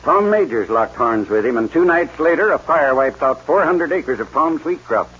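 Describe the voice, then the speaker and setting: An actor playing a worried, kindly, honest rancher with a folksy drawl in a 1940s radio western. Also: male voice, fairly high pitch, expressive, moderate pace, fairly bright tone, slightly rough. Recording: dull 1940s radio recording, faint noise.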